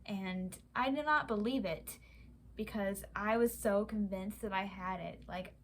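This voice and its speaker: Halting young woman, slow vlog talk. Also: medium pitch, a little hiss or hum. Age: young adult. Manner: halting, many fillers and restarts. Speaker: vlogger. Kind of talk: casual personal account.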